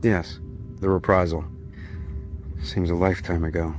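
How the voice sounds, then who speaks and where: Low-voiced, weary sailor prisoner man acting. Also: flat delivery, a little hiss or hum, close to an everyday voice. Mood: weary, calm. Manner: measured, world-weary. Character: weary, resourceful, steady American sailor prisoner. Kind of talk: radio drama acting.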